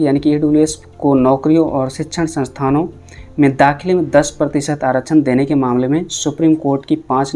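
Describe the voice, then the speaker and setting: A man's voice: fairly high pitch, dark tone. A man with an Indian accent narrating news updates as a channel host, repeating and rephrasing points to explain them.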